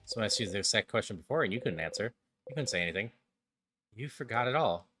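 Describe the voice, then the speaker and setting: Man as a game narrator, lowish pitch, earnest, wistful, dramatic reading, music behind. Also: expressive, quick pace, natural voice. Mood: somber.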